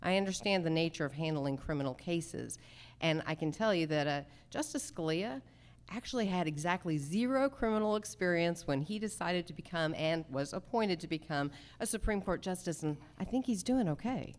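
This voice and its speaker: Female candidate at a debate forum, slightly low voice, emphatic delivery. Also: expressive, normal speed. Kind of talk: political forum answers.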